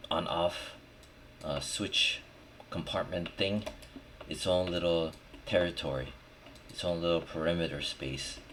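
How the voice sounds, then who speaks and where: A man with a very deep voice walks through software steps at a slow pace. He talks informally, thinking aloud and checking in as he goes. Noticeable noise, typing and mouse clicks sit behind his voice. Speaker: 3D modelling tutorial instructor. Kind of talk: casual screen-recorded software tutorial, talking while working. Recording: clearly noisy, with keyboard and mouse clicks. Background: background noise, typing and clicking.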